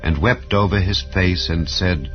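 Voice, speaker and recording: A man giving a measured, solemn scripture reading over soft background music. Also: sits low, dull audio. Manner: measured, solemn reading with rising and falling phrases. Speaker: Bible reader.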